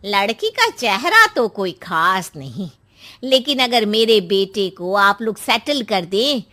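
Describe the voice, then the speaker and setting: A woman voicing a haughty, middle-aged mother of a groom in an animated story, blunt and condescending. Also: medium pitch, takes it slowly, pitched below the performer's own voice, heavily acted.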